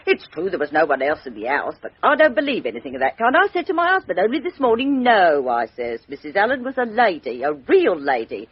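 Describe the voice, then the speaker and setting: A gossipy housewife in a radio mystery, her husky voice leaping up and down. She is chatty, opinionated and eager, yet respectful to her betters. The sound is slightly muffled. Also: lowish voice, huge pitch swings, fairly quick, hardly any pauses, full character voice. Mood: emphatic, insistent. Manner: chatty, emphatic, deferential, rambling. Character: gossipy, respectable working-class chauffeur's wife, eager and opinionated. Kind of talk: radio drama acting. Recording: dull, band-limited radio drama recording.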